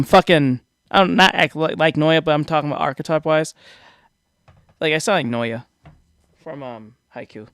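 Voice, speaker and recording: High-voiced boyish young man, dry sound. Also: fairly bright tone, no room sound. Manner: rambling, self-deprecating, laughs often. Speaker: reaction streamer. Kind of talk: casual reaction commentary. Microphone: desk microphone.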